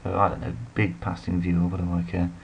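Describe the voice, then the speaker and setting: A man's voice: deep voice, monotone, fast, creaky, highs cut off, a little hiss or hum. A man giving rambling, casual commentary while playing a strategy game, full of self-deprecating asides. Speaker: let's play commentator.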